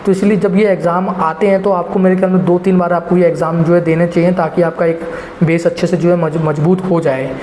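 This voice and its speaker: A young man with a fairly high voice talks students through an exam strategy in a loose, rambling way, leaning on filler phrases. He sounds like he is in an echoey room, with a faint hum of ambience behind him. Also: flat delivery, fairly quick, a little mellow. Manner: rambling, heavy filler phrases, addresses viewers as guys. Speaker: exam prep teacher. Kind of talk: casual spoken exam advice.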